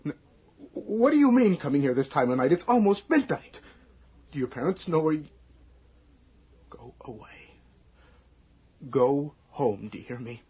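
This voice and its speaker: A man's voice: on the high side, big pitch swings, unhurried, pauses often, breathy edge, exaggerated voice. An actor playing a tense, irritable novelist and overbearing husband in an old-time radio drama, agitated and hesitant in his speech. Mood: alarmed, commanding. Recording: dull lo-fi radio drama recording.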